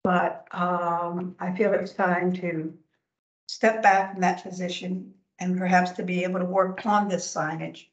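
An older woman chairing a town commission meeting, soft-spoken and hesitant, often trailing off. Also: on the low side, little pitch movement, unhurried. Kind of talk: meeting discussion. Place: town council chamber. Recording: echoey room, dull lo-fi sound.

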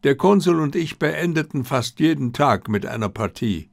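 A man voicing an old, proud, disciplined Japanese army general who speaks in a formal, measured way. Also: medium pitch, expressive, vocal fry, no room sound, studio quality, voice pushed up. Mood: dignified.

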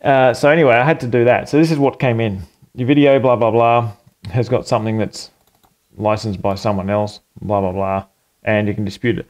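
A man's voice: lowish voice, fairly even intonation, a little fast, darkish tone. A man in his forties casually walking through how he recorded a song at home, rambling with fillers and self-corrections. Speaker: home music producer and channel host. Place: small home studio room at a computer desk.

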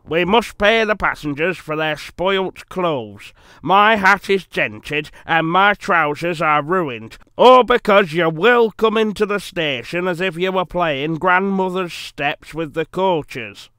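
Man doing a stern, loud railway boss. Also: high voice, slow pace, clear, resonant tone, dry recording, heavily acted. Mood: cross. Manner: stern, scolding. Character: stout stern railway boss, easily cross.

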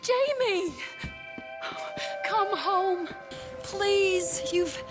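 An actress playing a tender, anxious young woman in a video game, speaking in an emotional, halting, pleading way. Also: very high voice, full character voice. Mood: pleading, relieved. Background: music.

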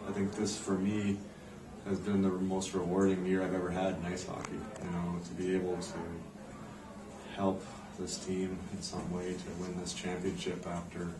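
Low-voiced, flat-toned North American man, distant mic. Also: moderate pace. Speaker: head ice hockey coach. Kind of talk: post-game press conference answers. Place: indoor press room in front of a backdrop. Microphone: camera microphone at a distance. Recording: roomy, distant mic, clear background noise.

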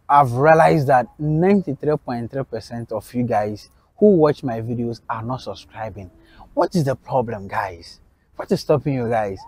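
A man's voice: a little high, a little mellow, no echo. A young man hosting a street interview vlog, chatty and upbeat as he urges viewers to subscribe, filmed outdoors on a campus. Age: young adult. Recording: faint outdoor background noise.

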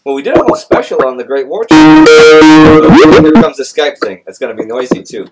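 A man's voice: a little high, big pitch swings, moderate pace, loud music behind the voice. A middle-aged man with an American accent, chatting casually as he hosts a live stream. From United States. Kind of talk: casual chat, answering audience questions. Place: small indoor room, seated.

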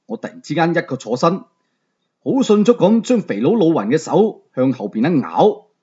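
A man's voice: fairly high pitch, sing-song, normal speed, dark tone, husky, highs cut off. A man with a Hong Kong Cantonese accent narrating a novel as a dramatic storyteller. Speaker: audiobook storyteller.

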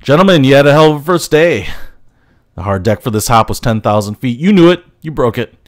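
A man's voice: medium pitch, animated, a little fast, no echo, studio quality, natural voice. An actor playing a stern male navy commander who speaks in clipped, strict sentences. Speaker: commanding officer. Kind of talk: 1980s film acting.

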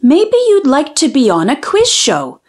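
A woman reading a children's picture book aloud in an expressive, sing-song storytelling manner, drawing out the rhyming lines. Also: high-pitched, a little slow.

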